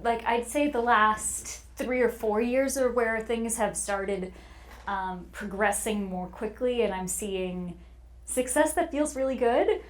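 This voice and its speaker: A woman's voice: fairly high pitch, slow. A woman speaking as a podcast guest, telling personal stories in a casual conversational way. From United States and United Kingdom.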